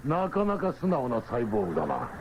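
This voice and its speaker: An actor playing a smug, bossy enemy submarine captain in a radio drama. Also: male voice, medium pitch, wildly swooping pitch, fast. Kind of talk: 1970s radio drama villain acting. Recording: noisy, dull 1970s radio recording.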